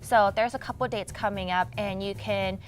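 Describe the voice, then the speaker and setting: A woman's voice: medium pitch, fairly even intonation, normal speed. A young woman from Thailand, a native Thai speaker, answering interview questions in a casual conversational way. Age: young adult. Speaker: interview guest, pageant titleholder and journalism student.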